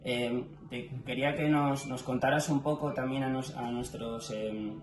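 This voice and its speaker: A man's voice: mid-range voice, fairly even intonation, unhurried, noisy background. A man from Madrid hosting a casual interview, affirming often and restarting his sentences with filler sounds. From Madrid, Spain. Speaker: host of a language channel. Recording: echoey room with music underneath.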